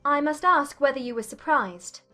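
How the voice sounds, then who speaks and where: A woman playing a witty, candid young gentlewoman in a dramatized classic romance audiobook, polite and gently probing in formal period English. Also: sits high, moderate pace, soft background music. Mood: gently teasing.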